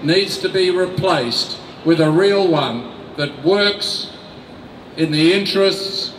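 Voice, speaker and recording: An older man reads a prepared rally speech at a very slow, deliberate pace, stressing key words. His voice sits slightly high. The sound echoes across an open city square, picked up from a distance with faint noise behind. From Australia. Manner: slow, deliberate, emphatic reading from notes. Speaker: rally speaker. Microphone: mic on a stand.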